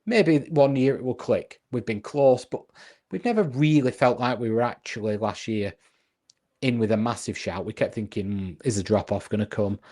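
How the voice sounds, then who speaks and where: A man co-hosting a casual football podcast, reasoning his points out loud with frequent restarts. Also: medium pitch, normal speed. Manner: earnest, reasoning aloud with restarts.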